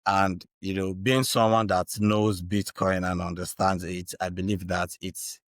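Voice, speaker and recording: Male Nigerian interview guest, native Igbo speaker, low voice, earnest explainer, close dry sound. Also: little pitch movement, measured pace, no room sound. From Anambra State, Nigeria. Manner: earnest, checks in with tags like "right" and "you know". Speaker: interview guest, Bitcoin educator.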